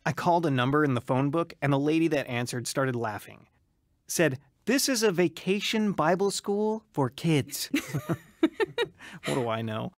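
A man's voice: on the high side, lively intonation, moderate pace, no echo, studio quality. An actor playing an earnest, eager young man turned pastor in a dramatized radio testimony. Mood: eager, amazed. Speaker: narrator and lead actor.

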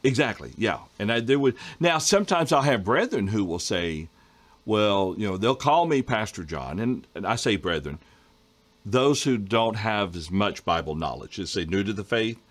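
Low-voiced man hosting a Bible study. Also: big pitch swings, moderate pace. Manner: conversational, frequent throat clearing. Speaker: host reading viewer questions. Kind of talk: casual Bible study discussion. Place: home study with bookshelves. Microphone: video call.

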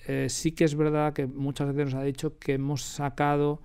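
A man with an accent from Spain chatting casually in an interview, animated and often repeating words for emphasis. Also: on the high side, normal speed, clean tone. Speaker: radio drama creator, interviewee. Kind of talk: casual interview chat, telling anecdotes. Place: radio drama studio.

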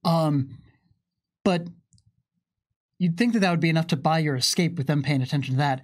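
A man's voice: a little high, moderate pace. A man in his thirties casually narrating a tabletop game demonstration as game master, explaining as he tells the story. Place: indoor studio with curtain backdrop. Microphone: microphone on desk stand.

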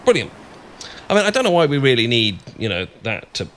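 A man's voice: medium pitch, very animated, brisk, fairly bright tone. A British man playing an adorkable, bashful young friend in an ASMR boyfriend roleplay, hesitant, stammering and rambling. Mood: flustered, friendly. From Britain. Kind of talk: ASMR boyfriend roleplay, improvised-sounding casual chat. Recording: clear background noise with ambience.

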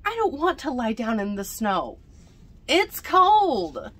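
Woman reading as a young storybook boy, whiny, reluctant, grumbling, lively pitch swings. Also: takes it slowly, heavily acted. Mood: reluctant, complaining.

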